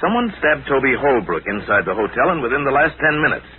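Shrewd, level-headed crime fighter male actor, lively intonation, old-time radio mystery, tinny sound. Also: mid-range voice, quick pace, a little hiss or hum, lightly acted. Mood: calm, probing. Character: calm, shrewd American crime-fighting hero. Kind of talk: 1940s radio acting.

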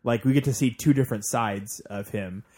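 A man's voice: mid-range voice, brisk, warm tone, breathy edge. A man co-hosting a podcast, talking informally in a casual recap chat. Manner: informal, conversational. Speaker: podcast co-host.

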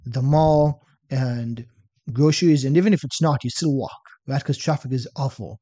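A young man from Israel with an Israeli accent, chatting as a podcast guest and telling stories in a relaxed way, often ending points with "right?". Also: mid-range voice, moderate pace, slightly rough, no room sound. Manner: relaxed storytelling, tags statements with "right?". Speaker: podcast guest, fitness coach. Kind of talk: casual interview chat.

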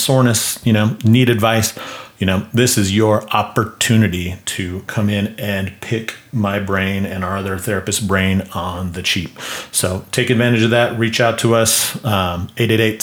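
A man with a somewhat low voice hosts a solo podcast for his clinic. He calls his own delivery monotone, and his talk is loose and casual, full of "um" and "you know". He keeps asking himself rhetorical questions and then answering them. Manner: self-described monotone delivery. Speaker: podcast host and clinic owner.

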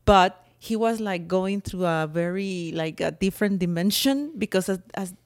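A woman's voice: medium pitch, normal speed, no echo, studio quality. A woman from Mexico with a Mexican accent, co-hosting a casual podcast chat and teasing her partner with frequent laughs. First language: Spanish. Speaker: podcast co-host.

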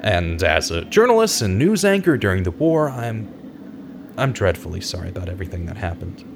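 An actor in an audio drama playing an earnest, guilt-ridden investigative journalist in his thirties, with a dramatic, halting delivery. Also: deep voice, very animated, background noise. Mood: sympathetic. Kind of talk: audio drama acting with narration.

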